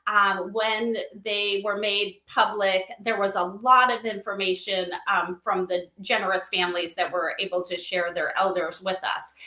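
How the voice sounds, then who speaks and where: A woman's voice: mid-range voice, fairly even intonation, measured pace, hardly any pauses, sharp tone. A woman guest on a livestream interview, explaining things informally and often checking in with "right?" and "you know". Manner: informal, filler-heavy, seeks agreement with "right?" and "you know". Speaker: interview guest, company advisor. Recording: roomy, echoey livestream audio.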